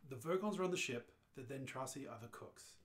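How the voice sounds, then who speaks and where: A brisk, matter-of-fact space traveler from a radio play, played by a man, with a medium-pitched voice. He is unfazed and practical as he guides a confused friend. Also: animated, natural voice. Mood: calm, explanatory. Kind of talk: radio play read-through.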